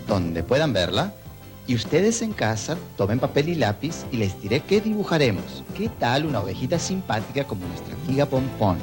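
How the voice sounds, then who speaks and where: A man with an Ecuadorian accent hosting a children's TV show, friendly and coaxing as he talks to kids. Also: medium pitch. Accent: Ecuadorian Spanish. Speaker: children's TV host. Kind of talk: children's TV presenting.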